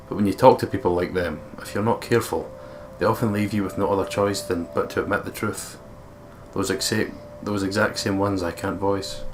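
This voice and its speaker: Low-voiced Scottish man, gaming co-host. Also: slight background noise. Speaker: let's play co-host. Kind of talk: reading game narration aloud and casual commentary.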